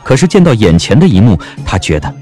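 A man with a lowish voice tells a story as an audiobook narrator. His delivery is dramatic and literary, rising and falling widely over a musical backdrop. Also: big pitch swings, warm tone. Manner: literary, measured dramatic phrasing. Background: background music.

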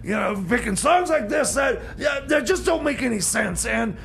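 A man's voice: very high voice, animated, normal speed, vocal fry, raised voice, a crowd in the background, heavily acted. A man playing a bumbling, nervous substitute DJ in comedy radio theater, stammering and repeating his words indignantly. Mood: flustered, indignant.

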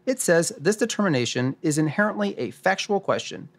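Noir radio drama: dry male expert, weary and gravelly, highly animated pitch, explains deliberately man acting. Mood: explanatory. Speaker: host.

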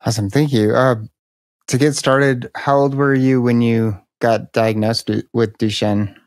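A man hosting an interview, asking brief, quick questions from a home studio at a desk mic. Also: mid-range voice, little pitch movement, a little mellow, no room sound. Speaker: podcast host. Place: home studio with colored lights, indoors. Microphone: desk mic on arm.

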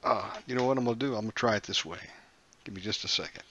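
A man's voice: fairly low pitch, rapid-fire, highs cut off, a crowd in the background. A man hosting a call-in radio show, talking in a friendly, chatty way. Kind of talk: casual call-in chat.

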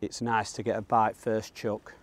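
A man's voice: lowish voice, flat delivery, moderate pace. A man, around his thirties, with a Northern English accent, gives casual, matter-of-fact instructional commentary outdoors while fishing. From northern England. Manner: plain-spoken, colloquial, matter-of-fact. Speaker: angler presenting a fishing guide. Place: outdoors on the bank of a pond.

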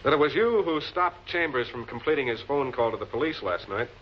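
An actor playing a composed, authoritative prosecutor in a 1940s television crime drama, speaking in a measured, deliberate, commanding way. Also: male voice, medium pitch, expressive, close to an everyday voice. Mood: accusing. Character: composed, authoritative male public prosecutor cornering murder suspects. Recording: muffled, noisy old film soundtrack.

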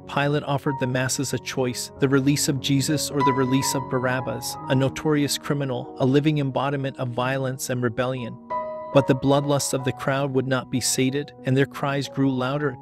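A man reading a scripted homily as solemn, measured dramatic narration. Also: medium pitch. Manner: measured, solemn storytelling delivery. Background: background music.

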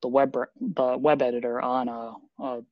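A man's voice: medium pitch, steady tone, normal speed, no echo. Man explaining on a dull video call. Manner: thoughtful, explanatory, with many fillers. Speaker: software developer presenting updates. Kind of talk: video call team meeting.

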